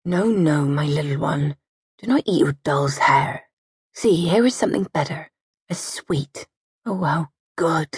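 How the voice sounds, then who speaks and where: A woman voicing a placid, stubborn mother who speaks in a quiet, heavy, deep voice and coos to her child. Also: lively intonation, a little slow, husky, no room sound, clean studio sound, full character voice. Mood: soothing.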